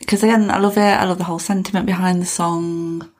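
Brief: low, husky woman co-host with an upbeat, chatty, fan-like manner. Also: steady tone, quick pace, a little mellow. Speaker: podcast co-host. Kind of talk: casual music chat.

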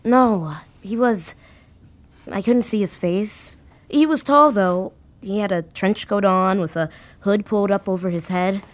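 A woman playing an anxious, grief-stricken young woman in an audio drama, speaking haltingly and repeating words. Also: medium pitch, close to an everyday voice. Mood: uneasy, halting. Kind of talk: naturalistic audio drama acting.

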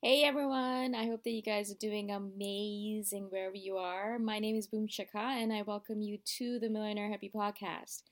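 A woman's voice: medium pitch, steady tone, normal speed, few pauses, faint music behind. A woman from Canada, hosting her podcast in a casual, confiding monologue that keeps addressing "you guys". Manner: chatty, confiding, often says "you guys". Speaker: podcast host.

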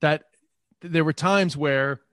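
A man's voice: fairly high pitch, unhurried, a bit bright, dry recording, clean studio sound. A man with a New York accent, a comedian talking casually in an interview and often restarting his sentences. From New York, Queens. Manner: rambling, repeats words and restarts. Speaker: comedian guest.

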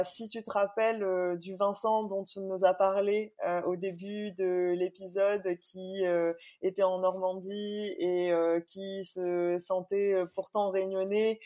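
Woman hosting a podcast, mellow voice, lots of euh and pauses, friendly. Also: mid-range voice. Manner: hesitant, many fillers, friendly laughs. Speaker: podcast host. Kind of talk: interview.

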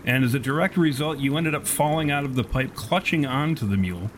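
A man's voice: medium pitch, fairly quick, slight background noise. A man improvising narration as game master of a tabletop roleplaying game, with wordy, storyteller phrasing.

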